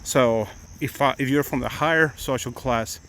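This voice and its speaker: A man's voice: mid-range voice, moderate pace, a bit bright. Mexican accent guy, middle-aged, rambly chat on a morning walk outside, phone mic, bit of outdoor noise. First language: Spanish. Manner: chatty, rambling, many restarts. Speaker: attorney vlogger. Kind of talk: casual live-stream chat.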